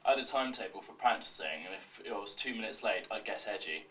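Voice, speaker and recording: A man reading scripted confessions in an awkward, deadpan manner. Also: on the low side, fast. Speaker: reader of fans' confessions. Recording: distant mic, faint background noise.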